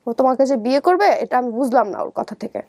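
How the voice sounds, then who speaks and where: A woman's voice: high-pitched, animated, quick pace, darkish tone, no room sound, clean studio sound. A young woman with a Bangladeshi accent telling her personal story in an interview, conversationally acting out what people said. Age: young adult. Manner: conversational, often re-enacts quoted dialogue.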